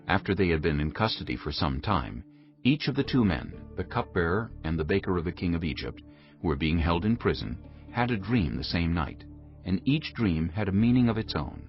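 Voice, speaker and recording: A man giving a solemn, measured and dramatic narration of scripture, formal in delivery. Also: low voice, sing-song, dull audio, faint music behind.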